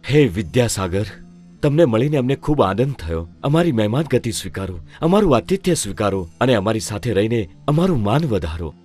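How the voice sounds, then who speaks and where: A man's voice: lowish voice, very animated, brisk, darkish tone, soft background music. A man voicing Emperor Akbar, a stately, curious ruler speaking in formal courtly words in a cartoon story.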